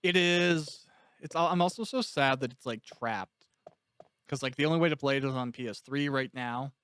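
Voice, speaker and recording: Male podcast interviewer, smooth and crisp, informal and talkative, medium pitch. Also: moderate pace, a bit bright, no echo. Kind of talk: casual interview chat.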